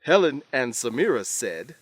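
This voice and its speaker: A man's voice: medium pitch, very animated, moderate pace, no echo. Male English-lesson narrator, dry studio sound. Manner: instructional, clearly enunciated. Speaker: narrator of an English-teaching radio program. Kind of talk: scripted educational narration.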